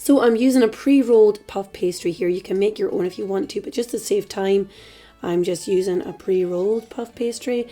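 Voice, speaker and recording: A Scottish woman hosting a cooking tutorial in a chatty, relaxed way, her voice a little hoarse from a dry throat. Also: medium pitch, normal speed, soft background music. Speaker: cooking channel host. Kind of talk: casual cooking tutorial voice-over.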